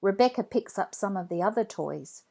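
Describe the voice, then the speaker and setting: A woman reading a children's story aloud in an expressive, deliberate read-along style. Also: medium pitch, creaky. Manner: deliberate, animated phrasing for children.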